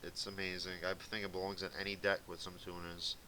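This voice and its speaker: A man's voice: low-pitched, level tone, brisk, bright, forceful tone, rough recording. A young man from Massachusetts with a light Boston accent, rambling casually through commentary on a card game deck with lots of fillers. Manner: rambling, filler-heavy, laid-back. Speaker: gaming video commentator. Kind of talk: casual commentary over gameplay. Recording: faint hissy home recording.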